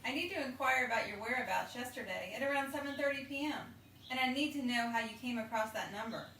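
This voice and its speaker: Casting: a commanding female trooper, high voice, clipped and official, radio drama, distant over clear background noise. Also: fast talker, pushed and bright. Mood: official, firm. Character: brisk, authoritative female state police officer. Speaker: actor in an audio drama. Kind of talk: naturalistic radio drama dialogue. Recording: echoey, distant, noisy location sound.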